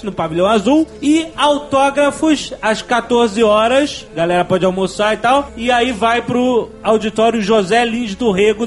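A man with a Brazilian Portuguese accent hosting a podcast in excitable, slangy, exclamatory banter. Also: extremely high pitch. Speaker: podcast host.

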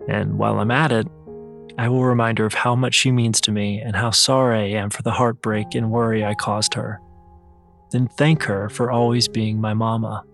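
A man's voice: fairly low pitch, little pitch movement, moderate pace, creaky, a bit bright. A man reading a scripted first-person personal essay as narrator. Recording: music behind the voice. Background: music.

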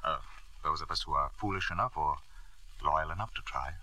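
An actor playing a principled, quietly disapproving middle-aged doctor in 1950s radio drama, measured and pointed in his delivery. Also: extremely low pitch, dry recording, exaggerated voice. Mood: polite, pointed.

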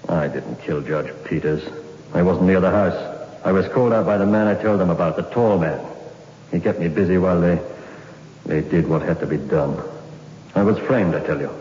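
An actor playing a framed condemned man, resigned and bitter, talking in a quiet, weary and insistent way, in a 1960s radio drama. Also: low-pitched, moderate pace, lightly acted. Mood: pleading. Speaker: prisoner awaiting hanging. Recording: roomy, dull old radio recording with hiss.

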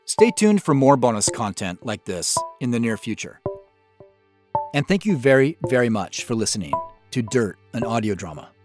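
Man with lively pitch over faint music. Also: moderate pace. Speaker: audio drama creator giving director's commentary. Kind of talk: informal behind-the-scenes commentary.

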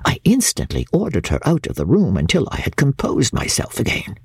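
Casting: woman reader as proud middle-aged Victorian mother, spiteful and emphatic, gravelly breathy voice, classic-novel audiobook. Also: very deep voice, huge pitch swings, a little mellow, no echo, in a whisper, full character voice. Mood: resentful, uneasy. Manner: haughty, emphatic, deliberate storytelling. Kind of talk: dramatic audiobook reading of classic literature.

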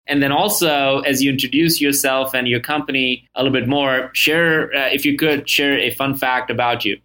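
Enthusiastic podcast host, bright male voice. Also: mid-range voice, fairly even intonation, normal speed, low-quality recording. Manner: enthusiastic, fillers and restarts. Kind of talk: energetic podcast hosting.

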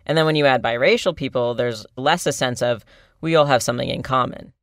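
A male podcast host speaks in an even, explanatory way at a middle pitch. His voice is close and dry, with no room sound around it. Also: fairly quick, no room sound. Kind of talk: conversational radio reporting.

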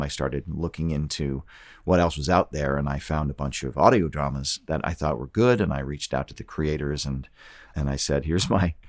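A middle-aged man with a very low voice, talking casually as an actor being interviewed. He has a joking, self-deprecating way about him, and the sound is close and dry. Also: no echo. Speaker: guest, actor and audiobook narrator.

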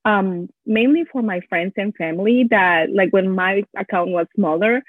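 A woman's voice: mid-range voice, dry recording. Spanish-accented woman guest, chatty DIY creator, dry thin phone-quality sound. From Latin America. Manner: animated, quick storytelling with many fillers. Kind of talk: casual interview chat. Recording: dry tinny phone-like line.

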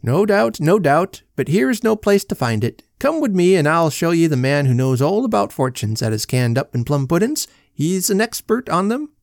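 A man's voice: fairly high pitch, big pitch swings, normal speed, hardly any pauses, darkish tone, no echo, studio quality, voice pushed up. A man reading a story and voicing an Irish police officer who humors the speaker in a soothing brogue. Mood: humoring.

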